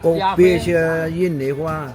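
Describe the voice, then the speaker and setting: A man's voice: fairly high pitch, steady tone, takes it slowly. An older local man chats casually at a tea house, patiently repeating words to teach them. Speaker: local resident. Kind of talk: casual street chat. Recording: loud music and crowd.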